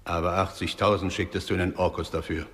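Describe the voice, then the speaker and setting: An actor playing a grave judge of the dead in a radio drama, speaking formally and declaiming. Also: male voice, sits low, flat delivery, fast, fairly bright tone. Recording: long reverberant hall.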